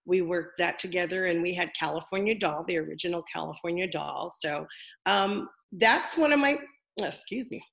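An older woman with a deep voice, a former wrestler chatting casually as an interview guest, rambling with lots of fillers. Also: moderate pace, creaky, a bit bright.

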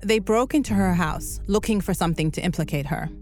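A woman hosting a podcast, reading scripted documentary narration in a measured, earnest way. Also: lowish voice, a little mellow, faint music behind.

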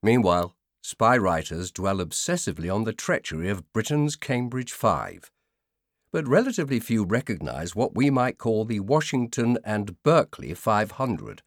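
A man reads scripted nonfiction in a fairly low voice. His delivery is measured and formal, as befits an audiobook narrator. The sound is dry, with very little room to it. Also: dry recording.

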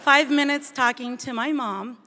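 Woman telling her story, kinda high voice, echoey hall sound. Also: unhurried, pushed and bright, slight background noise. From Venezuela. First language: Spanish. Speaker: bilingual teacher. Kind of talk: scripted personal storytelling. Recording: long hall reverb.

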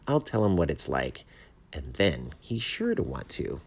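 A man's voice: rumbling low, huge pitch swings, measured pace, husky, heavily acted. A man voicing an eager, curious, chatty little chipmunk in a children's storybook. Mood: excited, helpful. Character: eager chatty pleased chipmunk, small forest animal. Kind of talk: children's storybook read aloud.

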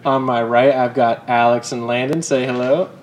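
A man's voice: medium pitch, level tone, normal speed, muffled recording, a little hiss or hum. A young man hosting a casual podcast, upbeat and swearing casually. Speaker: podcast host. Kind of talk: casual chat.

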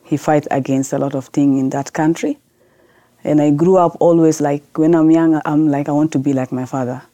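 Low, dark-voiced woman from South Sudan. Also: steady tone, no room sound. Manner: earnest, halting non-native English with restarts. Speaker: guest, lawyer. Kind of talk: podcast interview answers.

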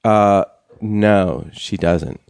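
A young man co-hosting a casual chat show, talking haltingly with many fillers and restarts. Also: low voice, creaky, a little mellow, clean tone, no room sound. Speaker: podcast co-host.

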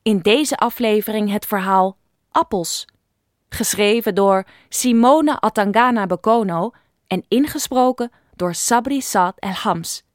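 A woman's voice: medium pitch, a little slow, no room sound, studio quality. A woman announcer reading a scripted introduction to an audio drama series.

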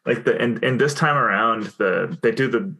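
A man's voice: medium pitch, moderate pace, gravelly, pushed and bright, slightly rough. A man co-hosting a casual movie podcast, rambling with restarts and frequent laughs. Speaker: podcast co-host.

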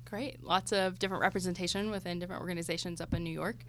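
A woman's voice: on the low side, steady tone, rapid-fire. A young adult woman hosting an interview podcast, putting questions to guests. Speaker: podcast host. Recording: clearly audible background noise.

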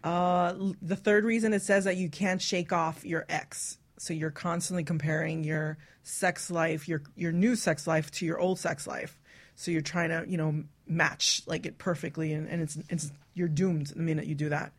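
A woman's voice: low voice, fairly even intonation, normal speed. A woman co-hosting a radio talk show, speaking frankly and casually in a group chat. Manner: frank, explicit.

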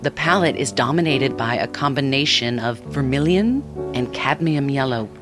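An actor plays a calm, helpful digital assistant guiding a user in an audio fiction piece. The delivery is polite, measured and precise, at a middle pitch, with clear music behind it. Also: close to an everyday voice. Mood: measured, descriptive. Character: calm, helpful AI seeing-eye app assistant.

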